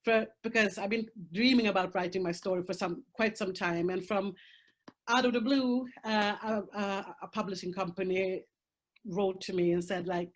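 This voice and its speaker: A woman's voice: medium pitch, fairly even intonation, moderate pace. A woman whose first language is Swedish, speaking English with a Swedish accent, talking frankly and emphatically as a panel guest over a video call. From Sweden. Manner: frank and emphatic, swears, laughs through hard topics. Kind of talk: candid conversational talk.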